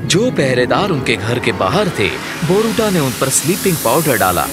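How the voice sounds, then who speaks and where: Male narrator for fairy-tale cartoon, expressive and varied scripted read, clear music bed. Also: mid-range voice, moderate pace.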